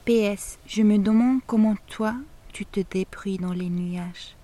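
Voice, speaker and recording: Podcast host, woman, unhurried lyrical reader with a warm, dark voice. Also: mid-range voice, fairly even intonation. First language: German. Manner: slow, intimate, lyrical delivery. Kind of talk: reading a poetic letter.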